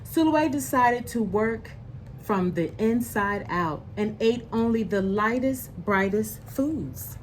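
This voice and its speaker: Female children's read-aloud host, slow and deliberate, expressive, simple asides, slightly noisy recording. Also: a little high. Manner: slow, deliberate, explains pictures in simple words. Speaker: storyteller reading a picture book aloud to children.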